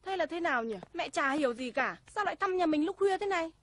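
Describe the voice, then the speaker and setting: A woman's voice: very high-pitched, lively intonation, fast, fairly bright tone. A woman playing a puzzled, easily startled neighbour housewife in a cartoon. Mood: bewildered. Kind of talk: cartoon voice acting. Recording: music behind the voice.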